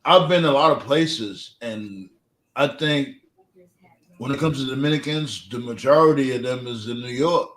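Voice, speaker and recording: A man's voice: medium pitch, normal speed, fairly bright tone. A man in casual panel chat, talking in a slangy, profane, joking way. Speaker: podcast panelist. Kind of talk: casual chat about wrestling.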